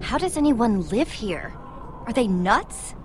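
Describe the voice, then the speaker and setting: A woman's voice: a little high, very animated, takes it slowly, a little mellow, soft background music. An actress playing a cautious, level-headed young woman adventurer in a video game, earnest and wryly friendly. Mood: curious. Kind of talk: animated video game voice acting.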